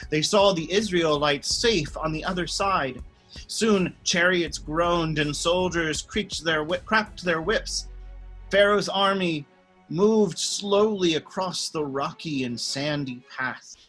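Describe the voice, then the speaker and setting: A priest tells a children's Bible story in a friendly, expressive manner. His voice is bright and a little high, and he takes his time. Soft music plays faintly in the background. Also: slow, low-quality recording.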